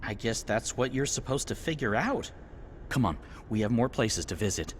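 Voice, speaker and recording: An actor playing a wry companion who speaks with dry understatement. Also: male voice, mid-range voice, expressive, normal speed, a little hiss or hum, natural voice.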